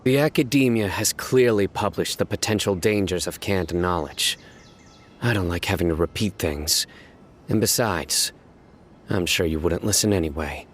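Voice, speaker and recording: A man voicing a stern, calm investigator in a video game, speaking in a measured, authoritative and unyielding way. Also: sits low, slight background noise, natural voice. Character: stern male law enforcer investigating smugglers, calm and severe. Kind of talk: English video game voice acting.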